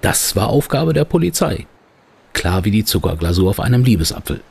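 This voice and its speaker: A man narrating a youth detective audio drama in a gravelly, husky storyteller's voice. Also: fairly low pitch, sing-song, brisk, darkish tone, exaggerated voice. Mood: measured, wry.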